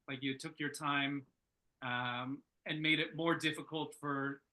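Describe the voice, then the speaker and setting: A man giving casual workshop feedback, thinking aloud in halting, searching phrases. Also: fairly high pitch, pushed and bright, poor audio. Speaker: script reader giving feedback at a table read.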